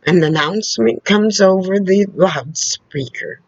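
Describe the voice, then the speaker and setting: A woman telling personal stories in a casual way, with a loud, carrying voice and a slow, halting delivery full of restarts and asides. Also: medium pitch, no echo. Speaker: storyteller. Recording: dull lo-fi recording.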